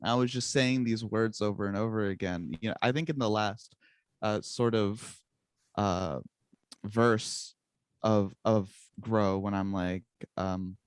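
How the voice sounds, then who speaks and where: A man's voice: on the low side, slow, clean tone, no room sound. A young man whose first language is Czech, chatting casually as a guest musician, rambling and joking at his own expense. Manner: rambling, self-mocking, laughs often. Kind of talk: casual interview chat.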